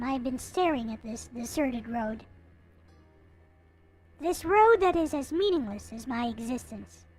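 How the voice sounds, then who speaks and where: A woman's voice: high-pitched, rough recording. A woman voicing a bitter, hopeless young narrator in a visual novel, reading in a melancholy, theatrical way. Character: gloomy, bitter, hopeless young person going blind, self-pitying narrator.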